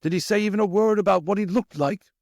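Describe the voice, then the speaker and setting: A man voicing an earnest, commanding older rat leader for an audiobook, with a measured, questioning delivery. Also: high voice, darkish tone, dry recording, studio quality. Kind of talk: audiobook character voice.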